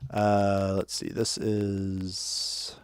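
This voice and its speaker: A man's voice: lowish voice, completely flat delivery, extremely slow, smooth, no echo. A man casually talking through his podcast editing steps, correcting himself and narrating each click as he works. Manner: chatty, self-correcting, talks while working. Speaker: audio editing tutorial host. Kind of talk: casual screen-share tutorial.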